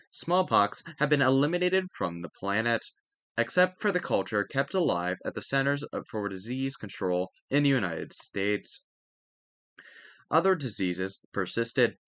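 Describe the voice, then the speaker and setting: A man's voice: mid-range voice, fairly bright tone, no room sound. A man reading educational text aloud in a careful way, now and then stumbling and correcting himself. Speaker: narrator reading a history textbook aloud. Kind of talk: read-aloud educational narration.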